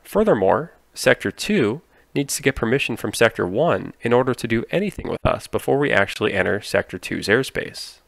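A man giving scripted explainer narration in a clear, instructive manner. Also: medium pitch, expressive, brisk, hardly any pauses, dry recording. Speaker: narrator explaining air traffic control.

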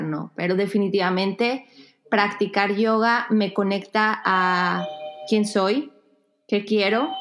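A woman podcast guest with a medium-pitched voice answers casually from home over a video call. She speaks in short, halting pieces, feeling for the right word and often repeating herself for emphasis. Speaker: podcast guest, yoga teacher. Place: home room with bare wall, indoors.

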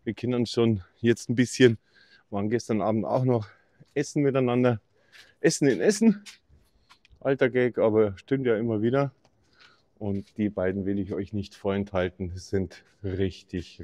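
A middle-aged man leads a casual, upbeat walk-through of a campsite, cracking small jokes as he goes. His voice has a mellow, warm tone. He talks into a camera he holds at arm's length. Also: lowish voice, normal speed, dry recording. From Bavaria, Germany. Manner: chatty, upbeat, jokey. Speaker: camping vlogger. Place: campsite outdoors and indoor reception office.

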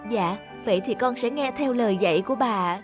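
A dutiful young fairy girl from a cartoon folk tale, acted by a woman. She is gentle and earnest, with a fairly high voice, heard over music in slightly muffled audio. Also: brisk, lightly acted. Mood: humble.

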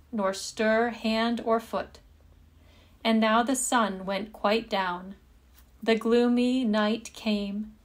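Slow-paced woman telling a fairytale. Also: mid-range voice. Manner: measured, deliberate storybook phrasing. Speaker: storyteller. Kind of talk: scripted fairytale narration.

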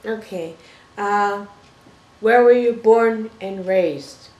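A woman's voice: medium pitch, very slow, darkish tone, muffled recording. An older woman from Toronto hosting a casual interview, folksy and fond of pet names. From Toronto, Canada. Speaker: talk show host, interviewer. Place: small living room, indoors. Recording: roomy, echoing living-room sound.

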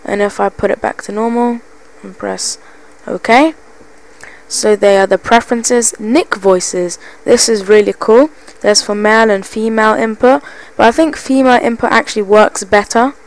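A woman talking through a software tutorial into a basic PC microphone, chatty and enthusiastic. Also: fairly high pitch, steady tone, normal speed, low-quality recording. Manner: chatty, enthusiastic, repeats "as you can see". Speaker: tutorial presenter. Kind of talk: screen-recorded software tutorial.

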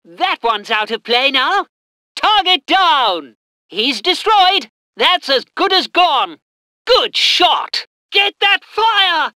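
A woman's voice: sits high, very animated, slow, sharp tone, dry recording, clean studio sound, heavily acted. A woman voicing an eager, dutiful British army train crew soldier in a military strategy game, with clipped military reporting. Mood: fired up. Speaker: game voice actor.

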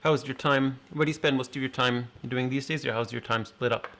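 Casual male interviewer, medium pitch. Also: fairly even intonation, fairly quick, fairly bright tone. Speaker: host interviewer. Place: indoor coffee bar set.

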